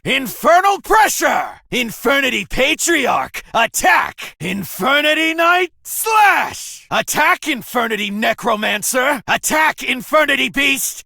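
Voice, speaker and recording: Fierce anime-game street duelist: sharp, gravelly, theatrical, with slow, drawn-out battle calls. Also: male voice, very high-pitched, no echo, clean studio sound, exaggerated voice. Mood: aggressive, fierce. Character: fierce male street-gang duelist summoning demons. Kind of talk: English anime video game battle lines.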